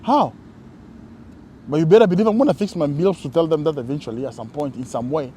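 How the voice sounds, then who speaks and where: A man co-hosting a casual conversation, reflective and halting, often restarting his sentences. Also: a little high, animated, dark tone, slight background noise. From Congo. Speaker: co-host.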